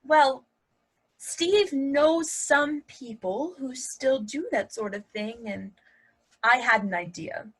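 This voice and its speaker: A woman's voice: high voice, takes it slowly, a bit bright, exaggerated voice, poor audio. A woman acting in a play reading as a sly, upbeat wife, teasing and persuasive. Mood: coy. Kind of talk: virtual stage play reading.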